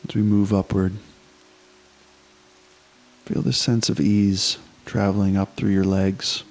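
A man leading a guided meditation, giving slow, unhurried instructions with long pauses. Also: low voice, little pitch movement, a little mellow, poor audio.

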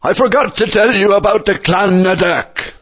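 A man's voice: high-pitched, moderate pace, husky. An actor playing an old, fatherly dinosaur guardian in a video game, speaking in a grand, storytelling manner. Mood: wise. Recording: dull lo-fi game audio.

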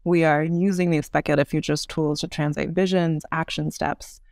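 A woman in her thirties explaining ideas as an interview guest, articulate and measured, with polished professional phrasing. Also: deep voice, level tone, darkish tone, no echo, clean studio sound. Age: 30s. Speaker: podcast guest.

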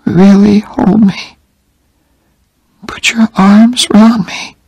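A man voicing a frail, dying young woman who is tender and stubborn, in a breathy high whisper. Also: takes it slowly, no echo, exaggerated voice. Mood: pleading.

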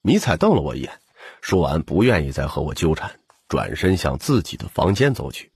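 A man's voice: rumbling low, big pitch swings, fairly quick, a little mellow, breathy edge, no room sound, studio quality. A man narrating a novel in the first person as a scripted audiobook.

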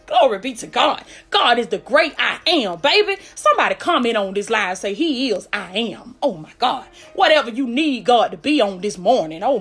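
A woman's voice: fairly high pitch, fairly bright tone. A woman with a Southern US accent preaching a fervent livestream sermon in an emphatic, hyped, exhorting way, with repeated refrains. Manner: hyped, fired-up delivery.

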